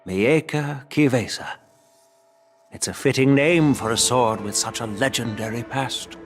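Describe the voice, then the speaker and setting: An actor playing a dignified elderly grandfather and general in a video game, formal, measured and authoritative. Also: mid-range voice, soft background music, lightly acted. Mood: solemn, formal.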